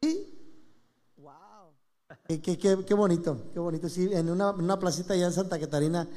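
A man's voice: high voice, animated, brisk, warm tone, big-room sound. A man hosting a casual live talk show, exclamatory and eager, dropping in religious phrases. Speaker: show host.

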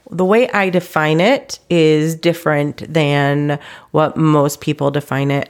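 Congested, low-voiced woman podcast host. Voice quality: congested, slightly stuffy nasal sound. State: congested from a cold. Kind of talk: conversational solo podcast talk to listeners.

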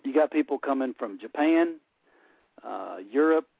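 A man's voice: mid-range voice, animated, normal speed, vocal fry, husky, no echo. A man with an Alabama Southern accent, calling in and chatting casually on a radio show, folksy and full of fillers. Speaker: caller co-hosting the interview. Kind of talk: casual radio phone chat. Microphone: telephone. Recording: dry, tinny phone line.

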